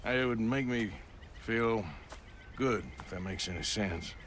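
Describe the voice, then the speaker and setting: Slow, low-voiced weary American outlaw. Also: male voice, a bit bright, slight background noise. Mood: weary, earnest. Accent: American, rural drawl. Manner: slow, plain-spoken, grave drawl. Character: weary, reflective outlaw gunman, loyal but disillusioned. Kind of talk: dramatic video game cutscene acting.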